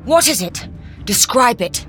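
A woman voicing a calm, brisk and eccentric male time-traveling doctor. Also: medium pitch, faint music behind, voice pushed up.

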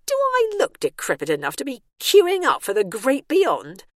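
A woman's voice: deep voice, very animated, unhurried, no echo, heavily acted. A stubborn older woman, acted by a female narrator, blunt and indignant in every word. She is the defiant heroine of a comic storybook, heard close and dry. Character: grumpy, defiant older woman at a health spa.

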